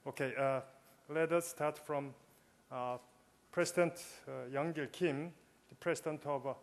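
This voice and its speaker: A man chairing a conference session, speaking haltingly with many fillers and restarts. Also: a little high, steady tone. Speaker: session moderator, university president. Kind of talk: conference moderating. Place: large conference hall.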